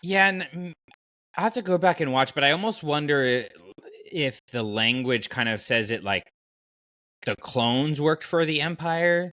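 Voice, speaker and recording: A man hosting a casual fan podcast, talking in an animated, rambling way as he thinks aloud. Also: fairly high pitch, a little slow, no room sound, studio quality. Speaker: podcast host.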